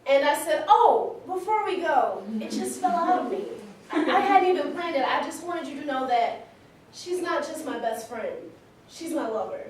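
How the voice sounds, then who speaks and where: A candid, animated woman, played by an actress making a confessional stage monologue. Her voice is high and comes from far off in a very echoey, faintly noisy room. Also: moderate pace. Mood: excited, amused. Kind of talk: conversational monologue in a staged reading.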